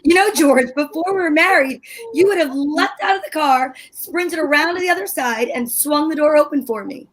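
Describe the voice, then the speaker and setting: A woman's voice: high-pitched, normal speed, natural voice, rough recording. A woman reading the part of a huffy, exasperated wife in an amateur old-time radio play. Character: wife Liz, fussy dramatic housewife.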